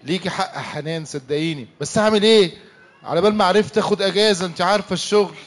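A man's voice: high-pitched, racing, close to an everyday voice. A young man with an Egyptian accent playing an easygoing, defensive husband in a church radio comedy-drama, protesting in a pleading, exasperated way. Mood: apologetic. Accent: Egyptian Arabic.

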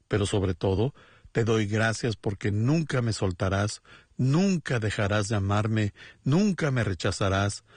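Earnest man leading a slow prayer. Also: mid-range voice, husky, no echo. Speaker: pastor. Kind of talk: Spanish-dubbed radio prayer and sermon voice-over.

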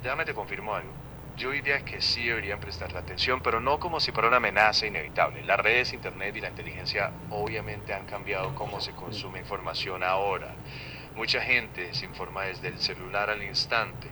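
A male AI voice assistant speaking neutral Latin American Spanish with a slight Colombian lean, giving measured, calm, explanatory answers. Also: lowish voice, noisy background. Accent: neutral Latin American Spanish leaning Colombian.

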